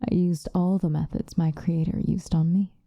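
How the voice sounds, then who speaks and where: A woman playing a possessive, obsessive female vampire lover in an ASMR roleplay, sinister yet tender, intimate and breathy, often slipping into whispers. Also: fairly low pitch, fairly even intonation, mellow, no echo. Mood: reflective, proud. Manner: intimate, breathy, drawn-out seductive delivery, often dropping into whispers.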